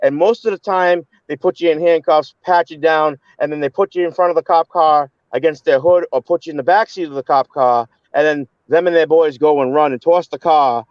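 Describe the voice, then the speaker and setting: A man's voice: on the high side, a little fast, hardly any pauses, no room sound, lo-fi sound. A man on a live online panel who talks bluntly and with heat, often stumbling and repeating words. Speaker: panel guest. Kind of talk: heated casual live-stream chat.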